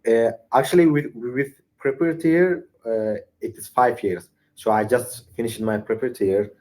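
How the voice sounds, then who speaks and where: A young man whose first languages are Arabic and Sorani Kurdish, speaking English haltingly over a video call with frequent fillers and restarts. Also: mid-range voice, darkish tone. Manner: hesitant, many restarts and fillers. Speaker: English learner. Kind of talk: casual online conversation practice.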